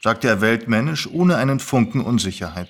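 Mid-pitched man narrating a story. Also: animated, normal speed, fairly bright tone.

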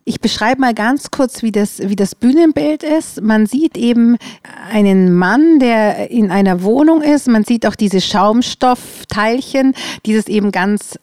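A woman with a fairly high voice talks informally as an interviewer, in a close, dry sound. She describes things conversationally, feeling for the right word. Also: a little slow, a little mellow, no echo.

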